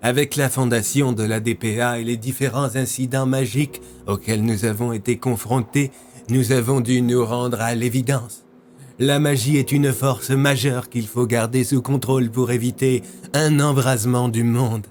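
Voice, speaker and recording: A man portrays a controlled military officer in an audio drama. His medium-pitched delivery is measured, formal and stern, over slight background noise. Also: steady tone, a little mellow, natural voice. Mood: grave, explaining. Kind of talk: French audio fiction acting.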